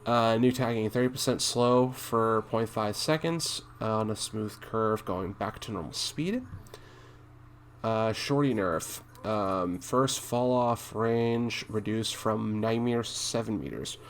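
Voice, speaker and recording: Halting man doing casual gaming commentary, noisy recording. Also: lowish voice. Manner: halting, hesitant, thinking aloud. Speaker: gaming content creator and streamer. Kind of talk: casual commentary reading game patch notes aloud.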